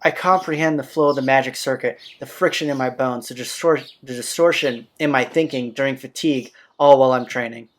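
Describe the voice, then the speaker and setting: A man's voice: mid-range voice, brisk, hardly any pauses. A man hosting a game playthrough, informally commenting and reading the story text aloud in a plain way. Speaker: playthrough host. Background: game soundtrack music.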